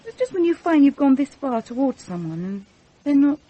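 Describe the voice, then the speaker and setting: A woman's voice: sits high, sing-song, brisk, mellow, dry recording. An actress playing a poised but insecure, romantic young upper-class Englishwoman in a radio play, hesitant and earnest. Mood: anxious, yearning. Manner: hesitant, self-conscious, earnest.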